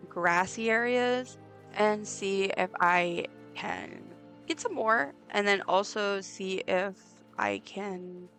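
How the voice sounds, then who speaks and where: A woman with a raspy voice chatting casually while streaming a game, rambling with lots of ums and likes. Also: medium pitch. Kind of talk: casual live gameplay chat. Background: soft game music.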